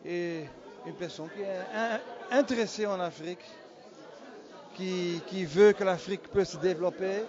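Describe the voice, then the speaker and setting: A man's voice: sits high, a little slow. A male entrepreneur with a Flemish accent, speaking thoughtfully and searching for words. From Antwerp, Belgium. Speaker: entrepreneur, gala guest. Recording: muffled audio with crowd noise.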